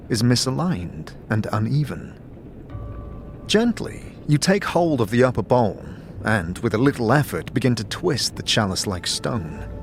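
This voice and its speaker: A man giving measured, atmospheric second-person narration of a fantasy story as game master. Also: mid-range voice.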